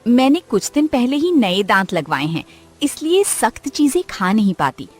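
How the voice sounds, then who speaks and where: A woman's voice: fairly high pitch, sing-song, normal speed, a little mellow, breathy edge, faint music behind. A woman voicing a chatty old village woman. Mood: eager. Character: elderly village woman with new dentures.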